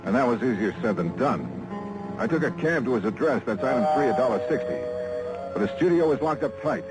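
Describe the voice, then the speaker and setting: An actor playing a wry, hard-boiled American insurance investigator in 1950s radio noir style, clipped and brisk. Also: male voice, medium pitch, lively intonation, loud music behind the voice, natural voice, low-quality recording. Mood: dry narration. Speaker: lead actor in radio detective drama. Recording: vintage 1950s radio recording.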